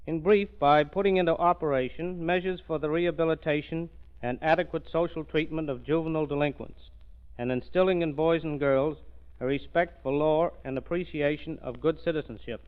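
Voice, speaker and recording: A man, a police official as guest, giving prepared remarks in an earnest, formal, deliberate manner. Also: on the high side, clean tone. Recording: muffled 1930s radio broadcast.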